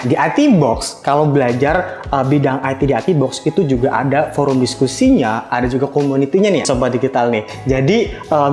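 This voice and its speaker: A man's voice: mid-range voice, darkish tone. A man hosting a casual, chatty interview, mixing in English loanwords. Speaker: podcast host. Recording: roomy echo, faint music behind.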